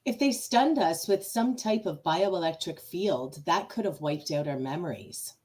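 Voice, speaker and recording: A woman's voice: on the low side, expressive, unhurried, darkish tone, breathy edge. A woman playing a matter-of-fact starship officer in an amateur radio play.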